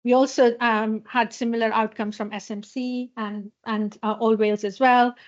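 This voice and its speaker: A woman from a patient charity giving a conference talk in a hospital lecture hall. She pauses often, with many fillers and restarts. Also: fairly high pitch, little pitch movement. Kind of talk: conference presentation. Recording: dull lo-fi sound.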